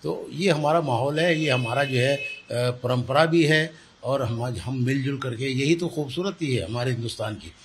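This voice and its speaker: A man's voice: mid-range voice, normal speed. An older man, explaining his position in a news interview and repeating words for emphasis. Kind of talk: spoken news interview answers. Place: small indoor office. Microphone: handheld reporter's microphone held close. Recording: clear background room noise.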